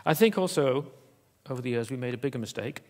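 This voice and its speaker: A man giving a conference keynote in an auditorium, talking in a halting way with many fillers and restarts. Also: mid-range voice, lively intonation. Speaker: keynote speaker.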